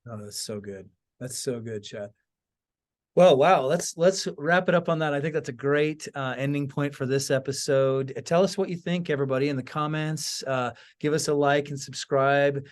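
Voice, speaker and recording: A man with a fairly high voice for a male speaker. He hosts a podcast and talks to his listeners in a casual, chatty way. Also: normal speed. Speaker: podcast host. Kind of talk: casual chat, show sign-off.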